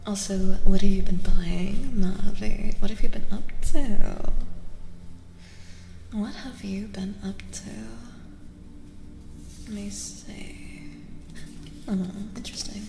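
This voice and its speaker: A woman streaming soft-spoken ASMR as a tarot reader, chatting to viewers in an unhurried murmur that often slips into whispers. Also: medium pitch, fairly even intonation, gravelly. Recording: roomy reverberant echo, faint noise.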